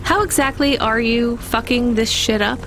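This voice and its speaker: A woman's voice: a little high, moderate pace, background noise, natural voice. An actress playing a young woman delivery driver in a video game, a plain-spoken, guarded newcomer to the city. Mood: dry, curious.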